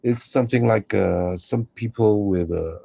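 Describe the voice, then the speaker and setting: A man chatting casually as a co-host in halting, short phrases, on a muffled recording. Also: on the low side, creaky, dark tone, breathy edge, dry recording. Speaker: podcast co-host and voice actor. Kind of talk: casual chat. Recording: dull, muffled lo-fi sound.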